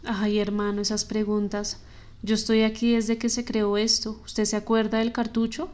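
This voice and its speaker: A woman voicing a street-hardened homeless man who talks in relaxed slang, in a flat, even delivery. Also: high voice, normal speed, natural voice. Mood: laid-back.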